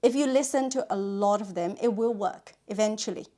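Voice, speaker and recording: A woman's voice: mid-range voice, brisk, mellow. Woman musing in conversation. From China. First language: Chinese. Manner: thoughtful, many "you know" and "uh" fillers. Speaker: guest, language content creator. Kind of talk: casual interview chat.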